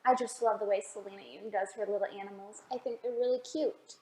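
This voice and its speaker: A woman reading a picture book aloud for a children's storytime, expressive and often asking the listeners questions. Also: mid-range voice, fairly quick, reverberant. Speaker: library storytime reader.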